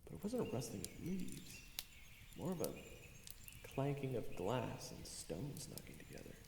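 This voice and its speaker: A man plays a playful young adventurer with a hugely animated, slightly gravelly voice. He narrates his tale in a theatrical, joking way, in an echoey, reverberant space with music underneath. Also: mid-range voice, a little slow, very noisy. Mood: storytelling. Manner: theatrical, joking, conversational storytelling. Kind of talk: first-person audio drama narration and dialogue.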